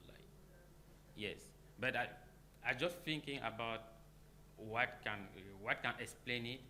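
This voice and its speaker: Man, bright lowish voice, hesitant non-native English, conference answer, clear background hum. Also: slow pace. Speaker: conference speaker. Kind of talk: answering questions after a talk. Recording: noisy room with hum.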